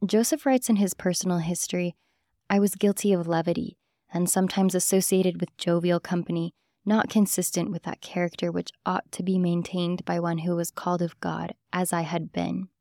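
Low, mellow woman narrating. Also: level tone, moderate pace, no echo, clean studio sound. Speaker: podcast host. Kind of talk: scripted podcast narration.

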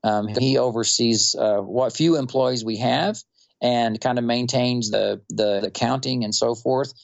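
A man from North Texas with a Texan accent, telling stories in a relaxed, unhurried interview with many fillers. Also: medium pitch, gravelly, highs cut off. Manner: unhurried, rambling storyteller with fillers. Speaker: quilter and musician guest.

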